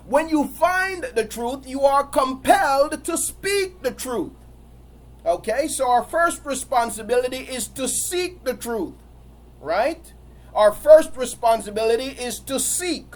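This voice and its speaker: A man preaching a sermon while he has a cold and sniffles, repeating his phrases in a building, emphatic cadence. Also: extremely high pitch.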